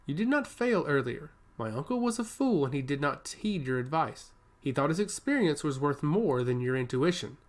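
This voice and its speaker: A man gives voice to a female ship captain in a fantasy story. The pitch is fairly high and varied. She is solemn, calm and loyal, with steady resolve. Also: normal speed, hardly any pauses.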